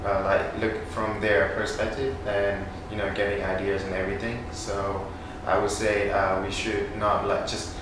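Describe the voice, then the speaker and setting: A man with a Vietnamese accent telling a story in an interview, relaxed and saying "like" a lot. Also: lowish voice, moderate pace, hardly any pauses. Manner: relaxed, lots of "like". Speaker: job candidate. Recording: echoey room, far from mic, noisy.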